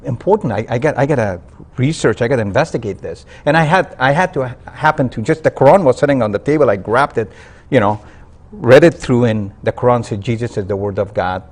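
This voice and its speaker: A man from Afghanistan, a guest on a religious talk program, telling his conversion story earnestly, halting with fillers and restarts. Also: mid-range voice, lively intonation. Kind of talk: interview testimony.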